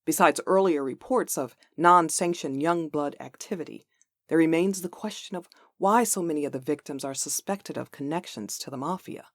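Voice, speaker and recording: A woman acts a serious television reporter in a comic dub. She reads the news in a brisk, matter-of-fact way, recorded dry and close. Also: deep voice, dry recording.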